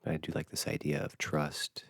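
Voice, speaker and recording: Very deep, creaky, slow-spoken male meditation guide. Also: completely flat delivery, no echo. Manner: gentle, hushed, halting with long pauses. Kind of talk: unscripted dharma talk for guided meditation.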